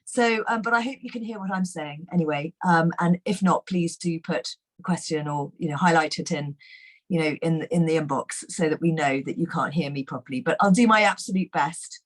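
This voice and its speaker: A middle-aged woman speaks in a low, hoarse, croaky voice. She gives a chatty, enthusiastic webinar talk, dotted with fillers. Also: a little fast. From England. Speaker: guest nutrition expert.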